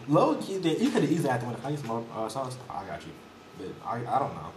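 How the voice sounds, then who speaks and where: A man's voice: medium pitch, wildly swooping pitch, rapid-fire. A man of nineteen co-hosting a podcast, chatting loosely in slang and laughing often. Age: young adult. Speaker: podcast co-host. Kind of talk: casual chat. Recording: echoey room with background noise.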